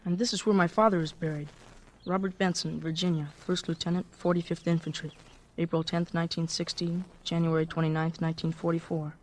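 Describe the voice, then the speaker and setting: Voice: medium pitch, little pitch movement, fairly quick, faint music behind, close to an everyday voice, low-quality recording. A young American boy as an actor plays him, chatty and candid. He talks with artless gee-whiz eagerness and curiosity, in radio drama style. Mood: matter-of-fact.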